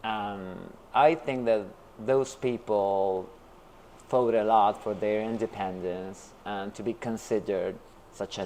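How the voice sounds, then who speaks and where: Interview guest, male, from New York, slow and halting, lots of um and uh, low-ish voice. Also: a little mellow, slight background noise. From Brooklyn, New York. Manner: hesitant, many fillers and restarts. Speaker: interview guest, artist and teacher. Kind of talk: casual interview talk.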